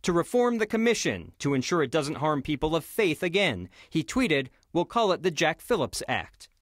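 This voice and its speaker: A man's voice: a little high, very animated, normal speed, no echo, studio quality. A male TV news reporter reading a scripted report.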